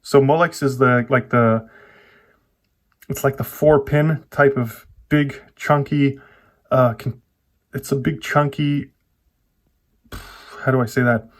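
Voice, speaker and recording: A man vlogger talking casually through a hands-on computer build, often pausing and rewording his explanations as he goes. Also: mid-range voice, steady tone. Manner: informal, halting, self-correcting explanations. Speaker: tech vlogger.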